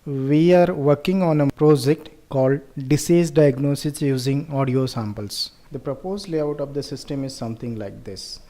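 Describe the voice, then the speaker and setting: Mellow Indian man explaining a project. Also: mid-range voice, moderate pace, few pauses. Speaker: researcher presenting a project.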